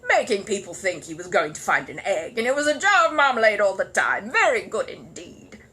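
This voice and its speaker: A woman's voice: medium pitch, sing-song, fairly quick, sharp tone, slight background noise. A woman voicing a mean, nosy male neighbour in a storybook, with a grudging, knowing delivery. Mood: knowing, condescending.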